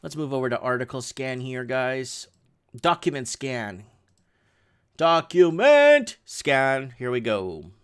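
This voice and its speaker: A man's voice: mid-range voice, very animated, slow, smooth. A middle-aged man, a native Portuguese speaker, hosting a livestream and casually reading and commenting on news headlines with rambling, sarcastic asides. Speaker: livestream host.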